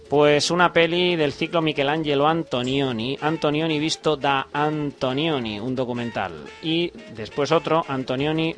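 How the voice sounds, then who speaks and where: A male radio host with a Spanish accent from Spain runs through cultural listings in a chatty, colloquial way. His voice sits at a middle pitch, with small hesitations and asides. Music plays behind him. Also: a bit bright. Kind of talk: informal radio cultural agenda, chatty commentary.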